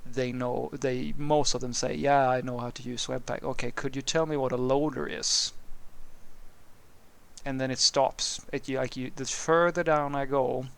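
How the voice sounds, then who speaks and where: A man's voice: mid-range voice, level tone, moderate pace, vocal fry, smooth. A man from Sweden whose first language is Swedish, talking casually and unscripted about programming, rambling with many restarts and fillers as he answers a viewer's question.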